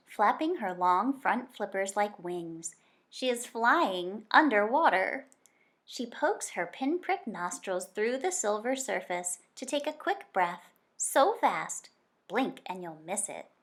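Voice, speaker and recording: A woman reads a nonfiction picture book aloud for children. Her intonation is lively and moves widely up and down at a middle pitch. She tells it like a teacher, expressively and deliberately, drawing out key words.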